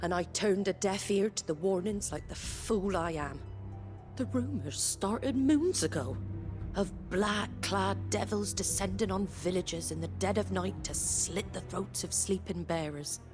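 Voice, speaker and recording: A woman's voice: medium pitch, measured pace, music in the background, natural voice. An actress playing a tough, blunt woman leader in a dramatic game cutscene. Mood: bitter, guilty. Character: tough, blunt tavern leader woman, guilt-ridden but defiant.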